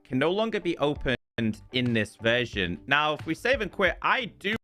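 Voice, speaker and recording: A man's voice: on the high side, very animated, moderate pace, bright, forceful tone. A man hosting a gaming video, giving casual update commentary and explaining things step by step. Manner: explanatory, walking through menus step by step. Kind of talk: casual scripted-sounding game update commentary. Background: background music.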